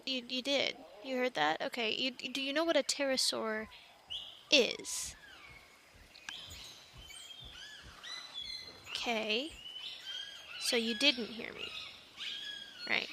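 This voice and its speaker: Woman acting as plucky young female castaway, high voice, bright tone, chatty and halting, roleplay audio, faint noise behind. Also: heavily acted. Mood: urgent, exasperated. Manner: chatty, animated, halting with stammered asides. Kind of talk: ASMR roleplay, conversational one-sided dialogue.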